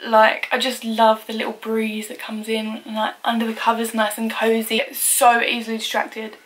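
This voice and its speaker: Young woman, vlogger, from England, naturally loud voice, chatty, giggly, higher pitch. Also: completely flat delivery, moderate pace, poor audio. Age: young adult. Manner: chatty, uses "like" constantly, giggly. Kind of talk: casual facts-about-me vlog.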